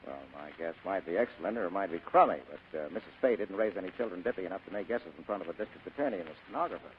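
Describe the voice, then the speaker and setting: Through a thin, noisy old radio recording comes a tough American private detective. He is sardonic and unafraid, snapping out slangy lines with a very lively swing in pitch, in classic radio drama style. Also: very fast, dull audio. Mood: cool, sardonic. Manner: clipped, slangy, insolent. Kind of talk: 1940s-style radio acting.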